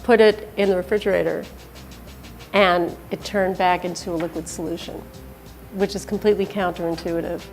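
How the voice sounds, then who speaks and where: A woman's voice: lowish voice, fairly even intonation, normal speed, darkish tone, soft background music. A woman explaining research fluently and plainly in an interview.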